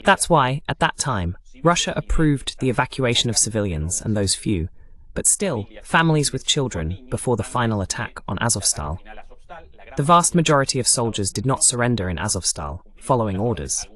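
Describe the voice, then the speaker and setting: A male commentator delivers a political monologue in a medium-pitched voice. His intonation is very lively, rising and falling often as he explains his points emphatically, using lists and rhetorical questions. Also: moderate pace.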